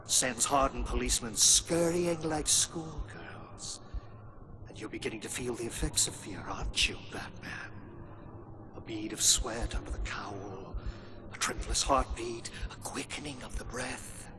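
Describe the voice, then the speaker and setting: A man's voice: mid-range voice, unhurried, background noise, natural voice. A man playing a gloating master of fear in a game cutscene, taunting and savouring each phrase. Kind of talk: dramatic villain acting in game cutscene. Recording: music behind the voice.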